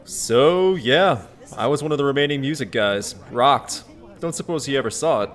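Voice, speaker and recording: A man's voice: medium pitch, lively intonation, moderate pace, natural voice. A man playing an exasperated, sarcastic skeptic with a short fuse in a comedy web drama. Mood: incredulous. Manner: incredulous, sarcastic.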